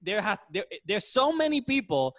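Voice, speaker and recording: A man talks through his points in a relaxed podcast conversation, often stalling and restarting mid-sentence. His voice sits slightly on the high side for a man. The sound is dry, with no room echo. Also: normal speed. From Dominican family. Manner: hesitant, many restarts and stammers. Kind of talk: casual podcast chat.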